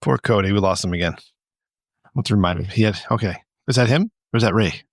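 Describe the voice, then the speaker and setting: A man's voice: lowish voice, brisk, no echo, studio quality. A man hosting a casual comedy podcast, moderating a game with friends in loose group banter.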